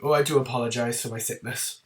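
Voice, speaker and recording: A man talks to camera about a film series in a loose, chatty way, with plenty of ums and little apologies. His voice sounds congested and slightly rough from a lingering cold. He is picked up from a little distance away from the microphone. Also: mid-range voice, fairly even intonation, fast talker. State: bunged up and slightly rough from a winter illness. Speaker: YouTube channel host. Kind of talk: casual film review to camera.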